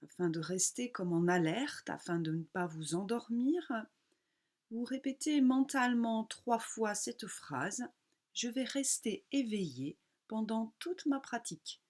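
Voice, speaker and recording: Calm woman guiding a relaxation session. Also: fairly high pitch. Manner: calm, unhurried, instructing in short phrases with pauses. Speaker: yoga nidra teacher. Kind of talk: guided relaxation meditation.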